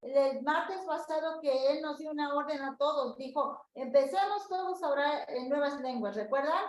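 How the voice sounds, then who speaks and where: Woman sermon interpreter, high voice, echoey room. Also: normal speed, slight background noise. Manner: fluent, repeats and expands, addresses brethren.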